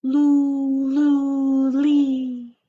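Woman as a lilting, high-voiced little shepherdess. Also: flat delivery, dark tone, dull audio. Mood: plaintive singing. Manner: lilting singsong, drawn-out calls. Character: gentle, anxious little shepherdess girl singing and calling tenderly.

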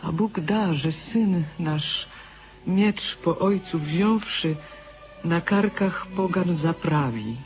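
A man's voice: fairly high pitch, slow pace. An actor playing a resigned, devout old husband giving his farewell in a radio drama. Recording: dull sound, music behind.

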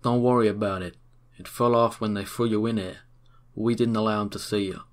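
A man's voice: on the low side, fairly even intonation, brisk, lightly acted. A man playing a brash, slangy newsboy gambler. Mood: casual. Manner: slangy, wisecracking. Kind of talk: fan audio drama.

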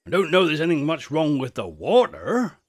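A man's voice: a little high, huge pitch swings, fairly quick. Man voicing a grave old English country shepherd. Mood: wary. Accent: rustic English country dialect. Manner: folksy, grave, emphatic.

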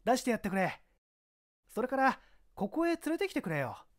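Shrewd, commanding detective inspector played by a male reader, raised and lively pitch, brisk authority, mystery audiobook. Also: full character voice. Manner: brisk, authoritative, giving orders. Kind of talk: dramatized mystery audiobook reading.

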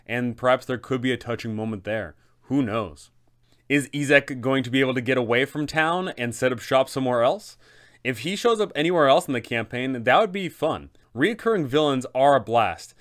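A man in his thirties explaining tabletop game mastering to camera, enthusiastic and emphatic, with dramatic pauses. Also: medium pitch, a bit bright.

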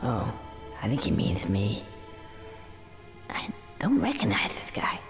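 A cocky cartoon red squirrel. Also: male voice, lowish voice, huge pitch swings, takes it slowly, vocal fry, heavily acted. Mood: nervous. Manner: flippant, rambling and sarcastic. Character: cocky male red squirrel, foul-mouthed antihero. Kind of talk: video game cutscene acting. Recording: reverberant with game music behind.

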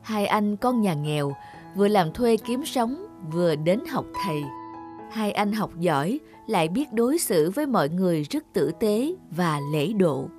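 A woman's voice: mid-range voice, sing-song, a little slow, a little mellow. A woman telling a scripted children's fairy tale as a storyteller, with music playing behind her.

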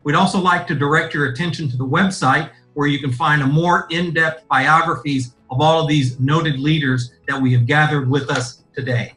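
A man with a bright, forceful voice and a fairly high pitch introduces the speakers at a webinar. He reads their introductions with small hesitations. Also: steady tone, moderate pace, few pauses, faint music behind, rough recording. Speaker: webinar moderator. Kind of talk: event introduction.

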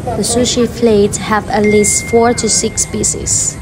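Slow-speaking Vietnamese-accented woman vlogger. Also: on the high side, level tone, low-quality recording. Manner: simple non-native phrasing, slow and friendly. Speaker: food vlogger. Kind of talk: voice-over food review. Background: background music.

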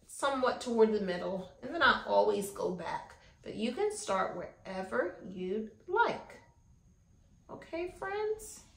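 A woman in her thirties teaching young children as a preschool teacher, encouraging them and often checking in with questions. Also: on the high side. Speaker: preschool teacher hosting an art lesson. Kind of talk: instructional talk to young children. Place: small indoor classroom-style room. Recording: echoey indoor room.

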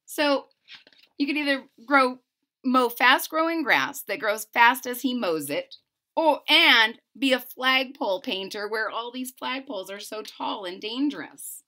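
A woman with a high, bright voice reads a picture book to children. Her delivery swings widely up and down, with theatrical stress on key words. She drops into casual, chatty asides between the verses. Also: animated, measured pace. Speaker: storyteller reading a picture book aloud. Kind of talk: expressive children's read-aloud with casual asides. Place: small room at home.

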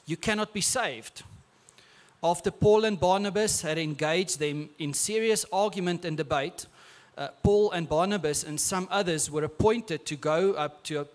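A man in his thirties with an Afrikaans accent, preaching a sermon on a church stage into a handheld mic in a relaxed, conversational way with restarts. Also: fairly high pitch. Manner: conversational, with frequent filler and repeated words. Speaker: pastor. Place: large church stage, indoors.

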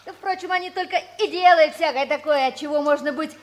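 Actress doing a little orphan boy, high voice, wistful and eager, vintage radio play. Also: fairly quick, close to an everyday voice. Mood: indignant, eager. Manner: childlike, earnest, wistful. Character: hopeful, eager nine-year-old orphan boy. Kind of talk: 1960s Soviet radio play acting.

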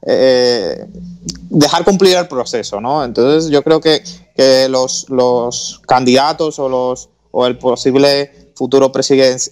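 A man's voice: mid-range voice, slow, darkish tone. A man on a sports talk radio show, weighing in with frequent repeats and restarts. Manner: hesitant, repeats words, tags with "¿no?".

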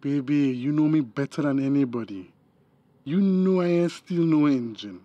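Guy playing a shady tobago boyfriend in a radio play, sweet talking, soft mellow tone, close dry sound. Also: a little high, moderate pace, no echo, muffled recording, natural voice. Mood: coaxing, pleading. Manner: coaxing, reassuring. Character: smooth-talking, scheming boyfriend with a shady past. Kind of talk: radio drama acting.

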